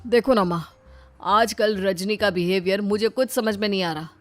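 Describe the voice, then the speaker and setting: A woman's voice: medium pitch, normal speed, dry recording, soft background music, lightly acted. A woman voicing a young married man, a sulky and curt grown son, in a family story. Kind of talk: dramatized Hindi family storybook.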